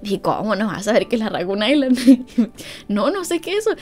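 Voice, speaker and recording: A woman's voice: on the high side, big pitch swings, moderate pace, a little mellow. A young woman with a Chilean accent hosting a podcast, telling a story casually, laughing often and acting out what people said. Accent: Chilean Spanish. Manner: chatty, laughs often, acts out quoted lines. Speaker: podcast host.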